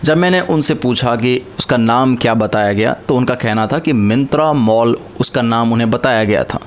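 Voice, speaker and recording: A man's voice: medium pitch, moderate pace, smooth. A young man speaking casually to camera from a small room, earnestly warning his viewers about an online scam, holding a small mic near his chin. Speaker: cyber-safety awareness YouTuber. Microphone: small handheld mic held near chin.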